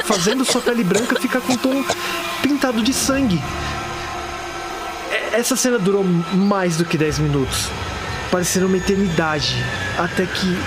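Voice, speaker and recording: A man's voice: high-pitched, background noise, pitched above the performer's own voice, lightly acted. A man with a Brazilian accent playing a terrified witness who recounts a horror story in the first person, dramatic and anguished. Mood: panicked, horrified.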